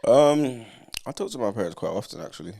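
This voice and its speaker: Fast gravelly man, teasing slangy guest energy. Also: on the low side, animated, mellow. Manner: quick-witted, teasing, slangy. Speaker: podcast guest. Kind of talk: casual chat.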